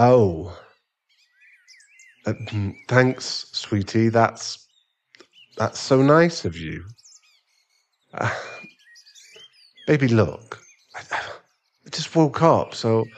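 A man playing an irritable, weary sugar daddy boyfriend who wants space, in a halting, sighing ASMR roleplay audio drama. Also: on the low side.

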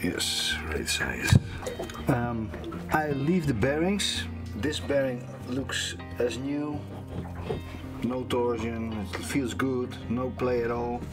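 A man's voice: mid-range voice, over music. A man in his fifties casually talking through a motor repair at a small workbench, thinking aloud as he works. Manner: slow, relaxed, thinking aloud while working with his hands. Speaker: sailing vlogger repairing boat gear. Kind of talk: casual how-to narration. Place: small workshop bench aboard a boat.

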